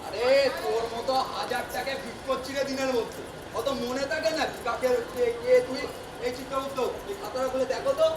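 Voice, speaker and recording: Guy doing flustered old god in a stage comedy, really high voice, stammery n pompous, echoey far off mic. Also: steady tone, a little fast. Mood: wary. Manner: stammering, repetitive, pompous when addressing crowds. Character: flustered, blustering old male God, comically self-important. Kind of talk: comic Bengali stage play acting. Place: theatre stage at a drama festival. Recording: echoey distant stage recording, noisy audience.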